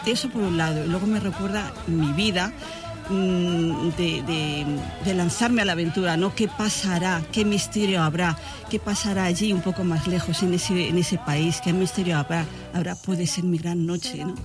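A woman's voice: lowish voice, fairly even intonation, moderate pace, music in the background. An older woman from Spain, reminiscing as an interview guest in a reflective way, often searching for words. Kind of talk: conversational interview, personal reminiscing.

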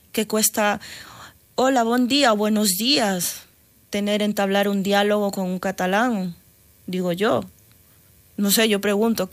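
A middle-aged woman with a Peruvian Spanish accent speaks as a guest on a radio program. Her talk is hesitant and full of restarts, and she laughs readily as she answers. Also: mid-range voice. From Peru. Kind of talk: casual interview talk.

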